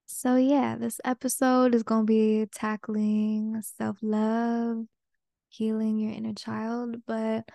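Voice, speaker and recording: A woman's voice: medium pitch, mellow, no echo, clean studio sound. A young woman from Peru, a Spanish native speaker, hosting a solo podcast and talking in an earnest, open, casual way with some slang. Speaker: podcast host. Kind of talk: reflective solo podcast talk.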